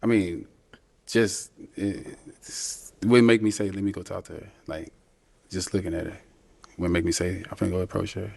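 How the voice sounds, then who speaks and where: Deep gravelly man, laid-back. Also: steady tone, moderate pace, darkish tone. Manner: laid-back, slangy, hesitant with ums. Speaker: dating show contestant. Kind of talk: casual talk on a dating show.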